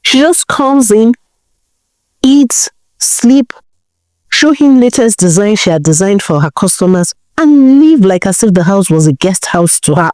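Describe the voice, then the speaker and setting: A woman's voice: mid-range voice, big pitch swings, a little slow, a little mellow, no echo. A woman with a Nigerian accent telling a folktale as a dramatic storyteller.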